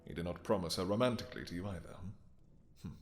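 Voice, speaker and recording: A man's voice: low voice, fast talker, faint music behind. A man playing a possessive, courtly vampire king in a romantic fantasy audio roleplay, speaking silky endearments with mock-polite menace. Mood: cold courtly disdain.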